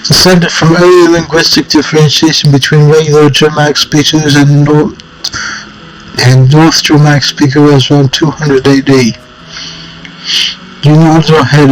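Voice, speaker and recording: A man reading an interactive text game aloud. He adds amused asides, often clears his throat, and stumbles and rereads phrases. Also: a little high, little pitch movement, moderate pace, low-quality recording.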